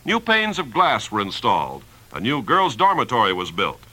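A man's voice: medium pitch, huge pitch swings, normal speed, pushed and bright, poor audio. A man reading scripted 1950s radio narration as an announcer, polished, emphatic and formal.

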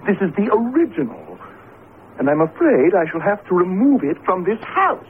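A man's voice: high voice, very animated, normal speed. An actor playing an apologetic but insistent company man in a radio drama, secretive, with a polite, firm manner. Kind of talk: 1970s radio drama acting. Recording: muffled, noisy vintage radio broadcast.

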